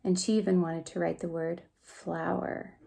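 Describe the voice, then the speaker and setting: A woman reading a picture book aloud to young children in an expressive, gentle way, pausing to ask them questions. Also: lowish voice, gravelly. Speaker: preschool story time reader.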